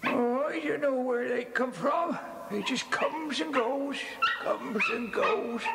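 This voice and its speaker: An actor playing a simple, spiteful old kennel keeper with rustic dialect, muttering and cackling in a children's audio drama. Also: male voice, very high-pitched, slow, creaky, background noise, full character voice. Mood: suspicious. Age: older. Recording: echoey cellar reverb on old cassette.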